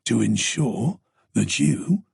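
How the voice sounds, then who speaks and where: A man playing a cold, menacing stranger with a low hush. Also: expressive, gravelly, slightly rough, dry recording, clean studio sound, exaggerated voice. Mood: coldly menacing. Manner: slow, quiet, threatening.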